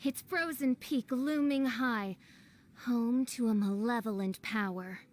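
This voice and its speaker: A woman's voice: a little high, slow, slight background noise. A woman playing a grandiose young princess in a video game, speaking in pompous, archaic, theatrical phrases.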